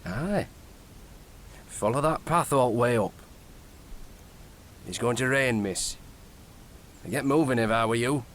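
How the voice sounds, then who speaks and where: Slow, gruff moorland local. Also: male voice, medium pitch, lively intonation, slight background noise. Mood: gruff, warning. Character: blunt, terse local guide on the moors. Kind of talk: period audio drama acting.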